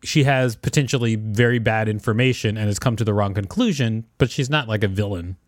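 A man's voice: fairly low pitch, a little fast, dry recording, studio quality. A man co-hosting a podcast, reading an article aloud and discussing it casually. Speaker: podcast co-host.

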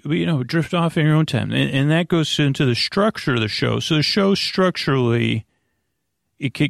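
Creaky, meandering man. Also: mid-range voice, no echo, clean studio sound. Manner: halting, meandering, repeats and backtracks. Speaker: podcast host. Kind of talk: rambling, tangential bedtime podcast monologue.

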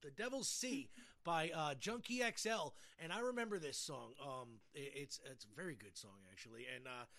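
A man's voice: on the high side, animated, normal speed, sharp tone. A man hosting a podcast in casual chat, with a jokey, self-deprecating manner. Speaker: podcast host. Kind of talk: casual chat about games and music.

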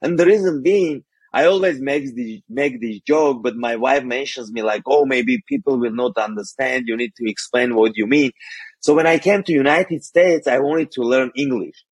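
A man's voice: medium pitch, very animated, moderate pace, gravelly, breathy edge, no echo. A man from Armenia with an Armenian accent, a guest on an interview podcast, explaining money tips carefully with some non-native phrasing. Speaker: guest, financial coach.

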